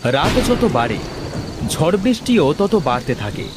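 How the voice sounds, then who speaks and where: A man's voice: on the high side, big pitch swings, a little slow, noisy background. A man narrating a scripted children's fairy tale as a storyteller.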